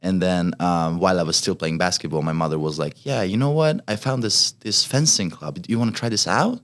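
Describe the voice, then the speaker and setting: A man's voice: low voice, brisk, fairly bright tone. A young man in his twenties from Hungary with a Hungarian accent, a college fencer talking as a guest, hesitant with many fillers and restarts. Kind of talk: casual interview chat.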